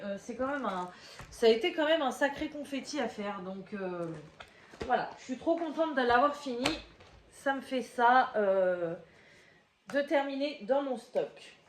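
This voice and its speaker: A woman with a slightly stuffy cold chats to her camera from a table at home, rambling with fillers and restarts. Her voice sits a little high. The room rings with echo and a faint hum runs underneath. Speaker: crafting vlogger. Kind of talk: casual chatty video monologue. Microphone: camera at table, head out of frame.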